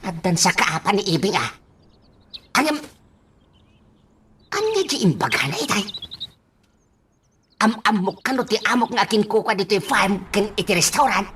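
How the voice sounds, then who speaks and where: A woman's voice: mid-range voice, sing-song, normal speed, long pauses, close to an everyday voice. A woman with an Ilocano accent acting a flustered, comically stubborn woman in a radio drama, repeating her exclamations. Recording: noisy recording with clicks.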